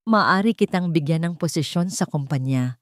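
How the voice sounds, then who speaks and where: A gentle, wealthy older Filipino father , voiced by a woman in an audio love story. He speaks softly and with measured concern, in a very dry recording. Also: deep voice, animated, dry recording. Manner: soft-spoken, measured, concerned.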